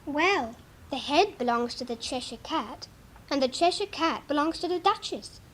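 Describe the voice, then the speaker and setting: A woman's voice: high voice, animated, moderate pace, fairly bright tone. A female actor playing a polite, curious young girl in a storybook drama, with a prim manner. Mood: earnest.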